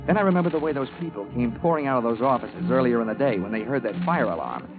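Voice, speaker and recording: Guy acting hardboiled american investigator in old radio show, wry wisecracks, lively delivery, tinny with music behind. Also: mid-range voice, quick pace, lightly acted. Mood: wry narration. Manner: wry, tough, clipped wisecracks. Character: wisecracking, hard-boiled male insurance investigator. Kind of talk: 1950s radio drama acting and narration. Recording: tinny vintage radio broadcast recording.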